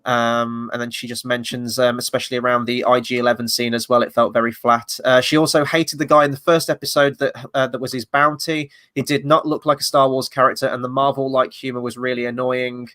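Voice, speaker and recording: A man's voice: medium pitch, little pitch movement, fairly quick, hardly any pauses, bright, forceful tone. A man hosting a casual review chat, a little stuffy from a cold, rambling through his opinions with fillers. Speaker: podcast host.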